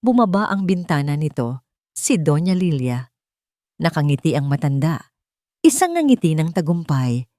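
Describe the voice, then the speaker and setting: A woman narrates a dramatic love story as a scripted piece. Her voice sits on the low side for a woman. The sound is very dry, with no room around it. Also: very animated, normal speed, pauses often, mellow, no room sound.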